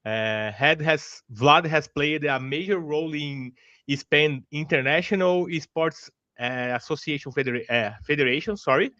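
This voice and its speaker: Halting Brazilian man, muffled dry call. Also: fairly high pitch, pushed and bright, no room sound. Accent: Brazilian Portuguese. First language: Portuguese. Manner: halting, many restarts, friendly. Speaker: podcast host. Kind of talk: casual interview hosting.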